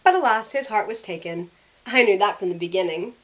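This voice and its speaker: A woman's voice: medium pitch, lively intonation, a little fast, lightly acted. A young woman playing a sassy, loyal modern heroine, narrating in the first person in a wry, conversational way. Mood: fond, wry. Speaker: narrator and lead character. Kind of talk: first-person story narration with in-character dialogue.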